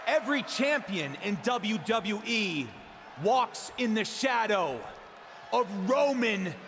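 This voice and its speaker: A male actor playing a bold champion wrestler, declaiming a video game promo with emphatic pauses. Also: high voice, animated, heavily acted. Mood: bold, defiant. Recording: echoing arena, loud crowd noise.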